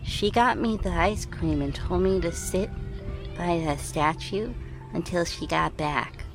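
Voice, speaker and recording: A woman playing a shy, polite little boy in an audio drama, speaking softly and hesitantly. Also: on the low side, music in the background. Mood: sad, confused. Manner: hesitant, halting, soft-spoken.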